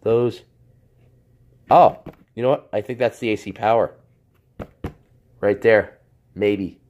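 A man with a hoarse voice from a cold, casually reviewing a product off camera while he handles it, rambling and correcting himself. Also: on the low side, steady tone, slow, a little mellow, muffled recording. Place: indoors at a table. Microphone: handheld camera, off-screen speaker.

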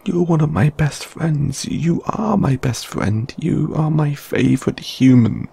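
Man acting a stammering, anxious lamia flatmate. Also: a little high, exaggerated voice. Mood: nervous, confessing. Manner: stammering, halting, flustered, breathy sighs. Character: anxious, apologetic, lovestruck young male lamia flatmate. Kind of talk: intimate audio roleplay acting.

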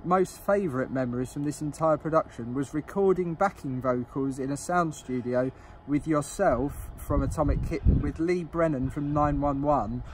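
Middle aged guy hosting a casual chat, voice a bit high, bit of outdoor noise. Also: normal speed, few pauses. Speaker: host, pantomime writer and performer. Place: outdoors in a leafy garden. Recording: noisy outdoor phone recording.

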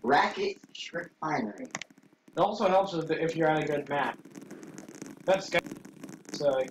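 A woman's voice: sits low, expressive, unhurried. A woman chatting and explaining things casually while playing a game with friends. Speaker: gamer. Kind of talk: casual gameplay chat. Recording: roomy, distant mic, faint noise.

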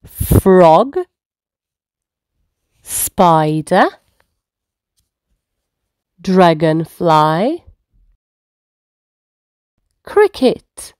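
A woman storyteller speaks to very small children in an encouraging, simple way. Her delivery is extremely slow, with a lively, sing-song rise and fall in pitch. The sound is dry and close. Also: smooth, dry recording. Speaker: children's read-aloud storyteller. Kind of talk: reading first words aloud to toddlers. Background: faint music.

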